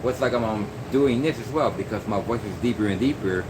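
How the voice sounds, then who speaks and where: A man's voice: fairly low pitch, a little fast. A young man talking in a loose, rambling vlog monologue, full of fillers and restarts. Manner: meandering, many fillers and restarts. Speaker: vlogger. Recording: noisy background hum.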